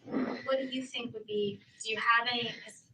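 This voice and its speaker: A woman asking informal, gentle questions in a recorded interview. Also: a little high, steady tone, moderate pace. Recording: distant interview room audio.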